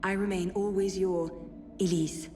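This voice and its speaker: A spirited young noblewoman played by an actress reads her letters aloud for a video game. She is witty and tender, with resolute, measured phrasing at a medium pitch. Some background ambience sits under her voice. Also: fairly even intonation, darkish tone, slight background noise, close to an everyday voice. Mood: wistful.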